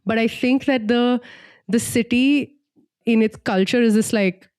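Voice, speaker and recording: A woman's voice: a little high, measured pace, darkish tone, no room sound. A young woman from Singapore chatting casually and reflectively as a podcast co-host, in an informal way peppered with "like".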